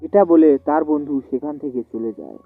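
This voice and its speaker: A man's voice: on the high side, expressive, normal speed, gravelly, dry recording. Tinny-sounding male fairy-tale storyteller. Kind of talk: scripted fairy-tale narration. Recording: tinny phone-like audio. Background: soft music.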